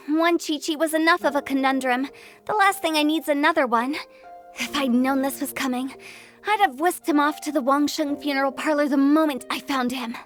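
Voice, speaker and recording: A woman's voice: sits high, normal speed, a bit bright. A woman playing a playful, shrewd young funeral parlor director in a video game, with a cheeky, dramatic manner, over music. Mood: wry, resigned. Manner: cheeky, dramatic, casual phrasing. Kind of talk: scripted game character dialogue. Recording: background music under dialogue.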